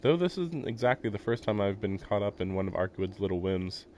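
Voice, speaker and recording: A male live streamer with a somewhat low voice gives casual game commentary. He rambles and muses in a jokey way, moving between reading narration and his own remarks. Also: expressive, a little fast, darkish tone, a little hiss or hum.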